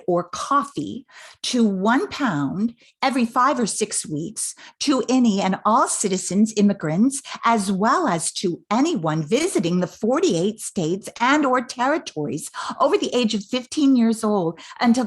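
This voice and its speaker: Older woman as a husky, patient grown daughter. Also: mid-range voice. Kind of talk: amateur radio play acting.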